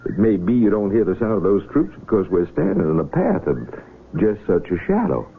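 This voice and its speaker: An actor playing a calm, inquisitive country physician in a radio drama, with a husky American voice and a measured, gently questioning manner. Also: male voice, fairly low pitch, exaggerated voice. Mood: patiently explaining. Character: calm, inquisitive, patient country physician of the Civil War era. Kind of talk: 1970s radio drama acting. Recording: narrow, tinny vintage radio broadcast.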